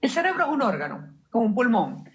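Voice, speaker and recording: A woman's voice: fairly high pitch, very animated, fast. A woman guest explaining her ideas fluently in an interview, emphatic and full of rhetorical questions. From Venezuela. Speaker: guest, mindset mentor.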